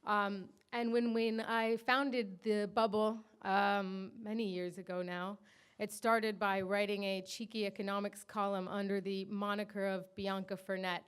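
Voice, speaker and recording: Smooth-voiced American woman, chatty. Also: medium pitch, flat delivery, unhurried. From Washington area, USA. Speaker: co-founder of a digital media company. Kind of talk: closing remarks at a panel.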